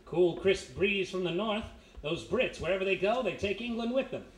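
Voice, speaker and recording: A wisecracking American gumshoe with a shady past played by a man. His delivery is lively and wry, in a live radio play with echoey sound. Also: high voice, normal speed. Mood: nonchalant. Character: wry, smooth-talking American ex-thief private detective. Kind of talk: 1940s radio mystery acting, live on stage. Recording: echoey live stage, faint noise.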